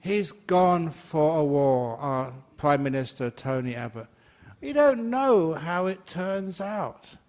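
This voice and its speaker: A man's voice: a little high, animated, slow, few pauses, darkish tone. Older man, monk leading Q&A, raised voice, laughs a lot, chatty. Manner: jokey, laughs often, chatty with fillers. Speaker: Buddhist monk and teacher. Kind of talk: Dharma talk Q&A.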